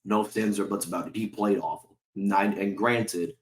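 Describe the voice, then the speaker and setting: Brief: deep-voiced male sports-vlog host, sore throat, heated and profane, roomy echo. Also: steady tone, normal speed. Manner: heated, profane, repetitive rhetorical questions. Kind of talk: ranting fan commentary to camera.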